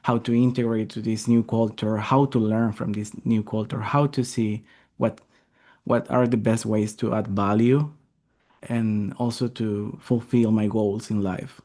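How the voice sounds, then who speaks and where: A man from Venezuela, a software engineer guest, answering interview questions thoughtfully with some hesitant restarts over a video call. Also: fairly low pitch, little pitch movement, measured pace.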